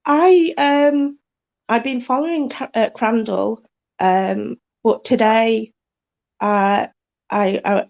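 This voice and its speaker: A woman's voice: a little high, steady tone, takes it slowly, vocal fry, darkish tone. A woman with an English accent calling in, speaking hesitantly with many fillers. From United Kingdom. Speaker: caller. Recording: muffled call audio.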